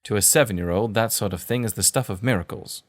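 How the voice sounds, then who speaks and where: An actor playing a shaken, reflective gentleman in a period audio drama, reading his letter in literary, measured phrases. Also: fairly low pitch, close to an everyday voice. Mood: wistful, reflective.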